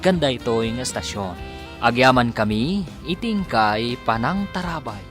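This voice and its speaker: Male radio drama announcer, Ilocano Filipino accent, mid pitch, highly animated scripted narration. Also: moderate pace, music in the background.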